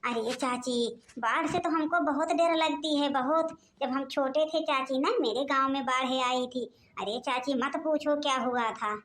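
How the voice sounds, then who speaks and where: A very high, almost childlike voice for a scared young village wife, played by a woman. She is an excitable cartoon character who pleads and repeats herself anxiously. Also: measured pace, slight background noise. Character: frightened young village daughter-in-law in a cartoon. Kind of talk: Hindi animated comedy dialogue.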